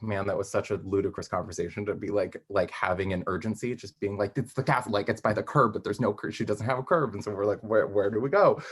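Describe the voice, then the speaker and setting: A man with a low voice speaks as an interviewed writer. His delivery is casual and rambling, full of hesitations as he thinks aloud and reworks his sentences. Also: a bit bright, husky. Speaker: interviewed playwright.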